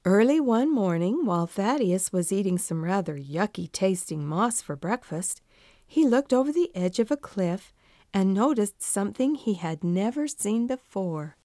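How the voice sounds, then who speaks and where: Lively, unhurried woman reading a storybook. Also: mid-range voice, no echo, studio quality. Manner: expressive, deliberate storytelling. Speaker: narrator. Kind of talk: children's storybook narration.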